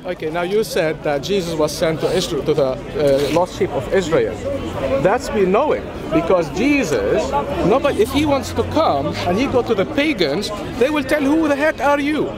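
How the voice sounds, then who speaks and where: A man whose first language is Arabic, arguing in a lively street debate in a park. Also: high-pitched, normal speed. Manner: animated, interjecting. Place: city park outdoors, crowd around. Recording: outdoor crowd noise.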